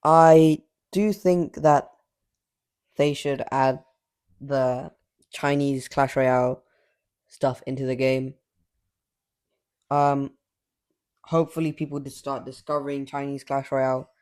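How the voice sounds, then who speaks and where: A man giving casual, rambling commentary over his mobile gameplay, cocky and trash-talking, his voice slightly raspy from filming late at night. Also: mid-range voice, flat delivery, slow pace, long pauses, a little mellow.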